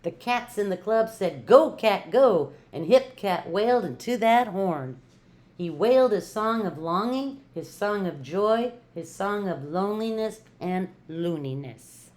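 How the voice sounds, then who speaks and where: An older woman reads a children's picture book aloud at a slow pace. Her delivery is expressive and rhythmic, with playful drawn-out emphasis on key words, in a mid-pitched voice. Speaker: retired teacher reading to children.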